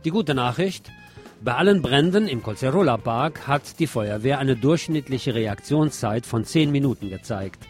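A man's voice: medium pitch, lively intonation, normal speed. A man delivers a scripted news commentary in a mid-range voice. He speaks as a presenter, with guitar music playing faintly behind him.

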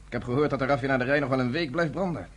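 An actor playing a blunt, self-assured resistance fighter in a radio drama. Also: male voice, mid-range voice, rapid-fire, close to an everyday voice. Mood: dry, matter-of-fact.

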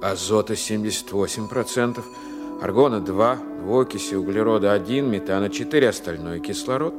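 A man's voice: on the low side, normal speed, over music, lightly acted. An actor playing a matter-of-fact spaceship crewman giving reports in a science-fiction audio drama. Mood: measured, factual.

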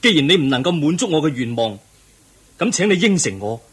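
An actor playing an earnest young crown prince in a Cantonese radio drama, speaking in a solemn, formal and measured manner. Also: male voice, a little high, very animated, a bit bright, slightly rough, exaggerated voice. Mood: earnest, respectful.

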